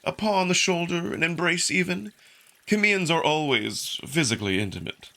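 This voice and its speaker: A man's voice: fairly high pitch, lively intonation, normal speed, gravelly, sharp tone, pitched above the performer's own voice, heavily acted. A man voicing a suave, smugly curious male jackal alien in a visual novel, with polite, needling, unruffled delivery. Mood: mock apologetic. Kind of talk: visual novel character acting.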